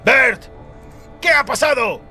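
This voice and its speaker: A man's voice: very high voice, slow pace, pushed and bright, faint music behind, voice pushed up, full character voice. A man voicing an alarmed, cursing villain leader who barks urgent orders in an audiobook.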